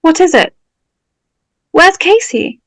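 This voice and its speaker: A woman's voice: very high-pitched, very animated, slow, heavily acted. A woman playing an anxious middle-aged mother who turns frantic, in a horror screenplay table read. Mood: alarmed, frantic.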